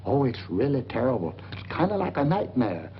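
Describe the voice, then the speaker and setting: An actor playing a boastful, wheedling small-time schemer in an old film comedy, with folksy, ungrammatical talk. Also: male voice, mid-range voice, very animated, a little fast, lightly acted. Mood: sheepish, flustered. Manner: folksy, ungrammatical, blustering bragging. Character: boastful, wheedling boarding-house man, middle-aged, small-time schemer. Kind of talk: 1940s film comedy acting. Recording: muffled, noisy old film soundtrack.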